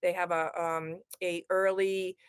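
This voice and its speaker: A woman's voice: lowish voice, level tone, measured pace, clean tone, no room sound. A middle-aged woman with a strong Boston accent, a guest answering interview questions in a chatty way with many ums and restarts over a remote call. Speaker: guest, ed tech consultant.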